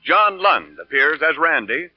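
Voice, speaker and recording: A man's voice: fairly high pitch, big pitch swings, unhurried, no room sound, faint music behind. A man delivering a polished, emphatic 1940s radio sponsor announcement. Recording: muffled, dry vintage radio broadcast.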